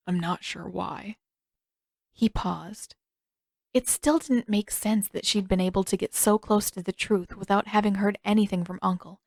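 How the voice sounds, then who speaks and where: A woman's voice: mid-range voice, a little mellow, no room sound. Woman narrating fiction, very dry sound. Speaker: audiobook narrator.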